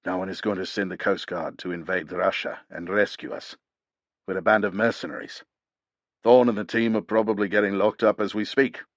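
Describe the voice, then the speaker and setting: A grieving old soldier of fortune, as a male narrator puts him on, speaking low and quiet in a heavy, deep register. The delivery is grim and weary. The recording is close and completely dry. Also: no echo. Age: older. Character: stoic old male mercenary warrior, grieving.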